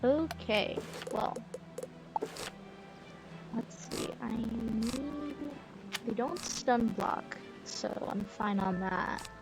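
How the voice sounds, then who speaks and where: A woman's voice: fairly high pitch, drawn-out words, long pauses, low-quality recording. A woman streamer giving casual, easygoing gameplay commentary, thinking aloud as she plays. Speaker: game streamer. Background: game music.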